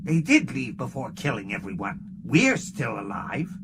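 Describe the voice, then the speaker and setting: A man puts on a greedy alien barkeeper with a gravelly, wheedling voice that swoops wildly up and down. He jabs back with sarcasm in an audiobook scene. Loud noise hums under the whole recording. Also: mid-range voice, wildly swooping pitch, normal speed. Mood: sarcastic. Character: sly greedy male Ferengi barkeeper.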